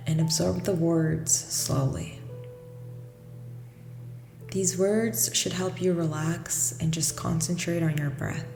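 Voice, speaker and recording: A woman with a low voice guides a meditation over music, in long, washy reverb. She gives gentle, scripted instructions and leaves generous pauses between them. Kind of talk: guided meditation, slow soothing scripted narration.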